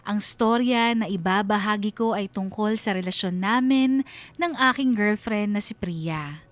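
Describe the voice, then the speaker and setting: A woman's voice: mid-range voice, measured pace, dry recording. A woman with a Filipino accent reading a scripted first-person story as a radio drama narrator. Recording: music bed under the voice. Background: background music.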